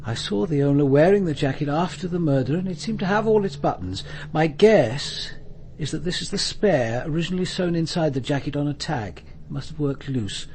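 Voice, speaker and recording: An actor playing a measured, formal, courteous senior police commander in a radio drama. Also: male voice, a little high, lively intonation, a little mellow, a little hiss or hum.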